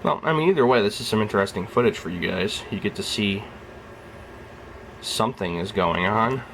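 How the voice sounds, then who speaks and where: A young man with a very low voice, just out of bed, rambling softly in a casual vlog as he films his snake at home. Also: fairly quick, a little hiss or hum, poor audio. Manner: rambling, soft-spoken, keeps his voice down. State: just woke up. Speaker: reptile keeper filming his snake. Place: at home beside a reptile rack.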